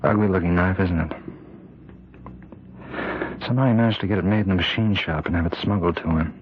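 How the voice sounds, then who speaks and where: An actor playing a tormented, frightened prison warden in an old-time radio drama, speaking haltingly and repeating himself in anguish. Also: male voice, sits low, slight background noise, close to an everyday voice. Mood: haunted, confessing. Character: tormented prison warden husband, fearful he is possessed. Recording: muffled old radio recording.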